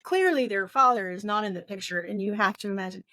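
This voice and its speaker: Halting woman podcast host, dry sound. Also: medium pitch, pushed and bright, no echo. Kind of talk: casual book discussion.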